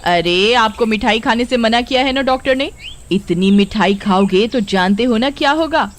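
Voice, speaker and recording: A woman's voice: on the high side, expressive, normal speed, a little hiss or hum, lightly acted. A woman playing a sensible, nagging village wife who exclaims and frightens easily, in a cartoon story. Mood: scolding, concerned.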